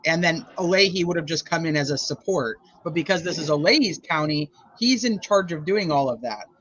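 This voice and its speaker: Laughing man with a high, bright voice. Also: brisk, lo-fi sound. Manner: animated, laughing, rambling. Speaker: co-host commenting on trial. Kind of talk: casual live chat.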